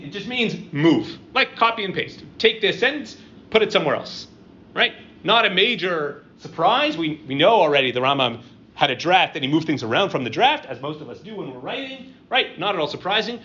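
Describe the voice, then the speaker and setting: A middle-aged man lecturing to a live audience, conversational and halting, with lots of "um" and "right?" checks. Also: fairly high pitch, pushed and bright. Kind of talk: university-style lecture with audience questions. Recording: dull lo-fi room recording with faint ambience.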